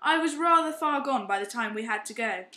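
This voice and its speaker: Woman playing a guilty young man, high voice. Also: lively intonation, bright, forceful tone. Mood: ashamed. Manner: confessional, halting, ashamed. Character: guilt-ridden, heavy-drinking young upper-class man. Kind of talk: amateur radio play acting. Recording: roomy, echoing amateur recording.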